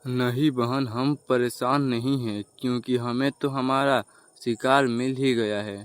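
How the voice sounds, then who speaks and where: Cartoon eldest-brother crow , male actor: young, hungry, bossy, blunt orders in a clean, measured voice. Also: mid-range voice, steady tone, smooth, no echo, lightly acted. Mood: cold. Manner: blunt, commanding. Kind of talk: Hindi children's cartoon dialogue.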